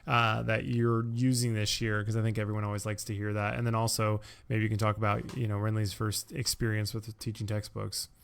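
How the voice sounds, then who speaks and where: A man hosting a podcast in a casual, conversational way, speaking in a halting manner as he goes. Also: lowish voice, little pitch movement, vocal fry, smooth. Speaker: podcast host.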